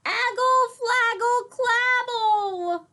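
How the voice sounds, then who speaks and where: A woman's voice: extremely high pitch, drawn-out words, sharp tone, voice pushed up, full character voice. A woman voicing a fussy little toddler girl from a storybook, babbling nonsense baby talk. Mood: urgent babbling.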